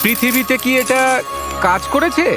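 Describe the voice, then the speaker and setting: A man voicing a courteous, earnest young prince in an animated fairy tale, speaking politely and formally. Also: very high voice, moderate pace, over loud music. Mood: hopeful.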